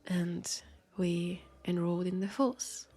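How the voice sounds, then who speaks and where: A woman with a French accent playing a world-weary, guarded immortal vampire detective in an audio drama, speaking haltingly and confidingly with a creaky, breathy voice that slips toward whispers. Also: on the low side, little pitch movement, mellow, soft background music. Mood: nostalgic, wistful. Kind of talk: intimate roleplay audio drama monologue.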